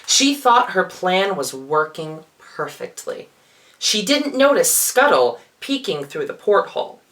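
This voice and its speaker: A man performing as a drag queen, reading a children's storybook in a theatrical, expressive way with playful asides. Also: high-pitched, a little slow.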